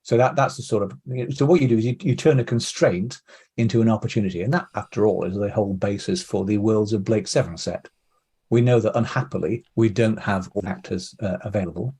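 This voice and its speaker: A man's voice: on the low side, a little fast, dark tone, husky. A man giving hesitant, discursive explanations as the guest in a casual interview. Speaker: interview guest, audio drama producer.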